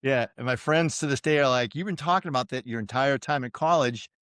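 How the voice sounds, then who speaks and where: Boston man in his fifties, smooth and dry-sounding, relaxed storyteller full of ums and asides. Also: mid-range voice, brisk, dry recording. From suburban Boston, USA. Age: 50s. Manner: easygoing, self-deprecating, many fillers. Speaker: podcast guest, retired Navy pilot. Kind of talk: casual interview storytelling.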